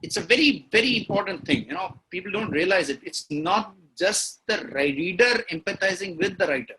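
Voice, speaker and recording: Man, Indian accent, high pitch, bright tone, emphatic, repeats key words, point-by-point interview talk. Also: lively intonation, a little fast, poor audio. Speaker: guest writer.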